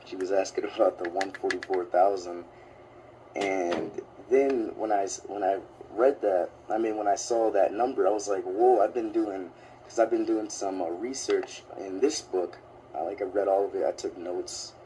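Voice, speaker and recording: Sick-sounding deep-voiced young man. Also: steady tone, normal speed, dark tone, a little hiss or hum, rough recording. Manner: hesitant, lots of "um" and "like". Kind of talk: casual video commentary.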